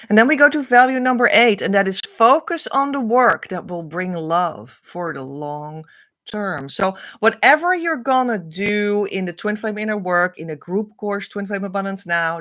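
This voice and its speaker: A woman whose first language is Dutch, speaking English with a Dutch accent, teaches spiritual values on a livestream in an earnest, repetitive, flowing way with soft trailing phrase ends. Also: mid-range voice, dry recording, clean studio sound. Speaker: spiritual coach.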